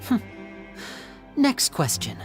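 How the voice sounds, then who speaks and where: A woman's voice: takes it slowly, soft background music, lightly acted. An actress playing a cool, probing interrogator in a video game, confident and pointed in her questioning. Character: cool, probing female interrogator confronting a criminal.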